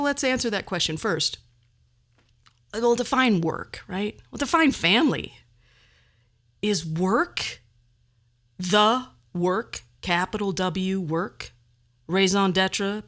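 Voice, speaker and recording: A woman's voice: mid-range voice, fairly bright tone. A middle-aged woman hosting a podcast, delivering a personal monologue in a wry, self-deprecating and theatrically emphatic way. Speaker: podcast host.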